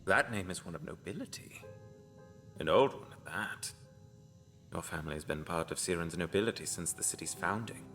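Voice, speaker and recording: A man playing a mysterious, grim healer in an audio drama, commanding yet consoling, with measured, ominous, formal phrasing. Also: sits low, lively intonation, fairly bright tone, faint music behind. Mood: solemn.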